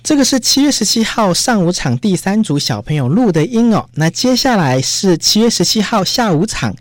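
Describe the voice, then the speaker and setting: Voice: medium pitch, sing-song, normal speed, no room sound, clean studio sound. A radio host with a Taiwanese Mandarin accent, introducing recorded segments.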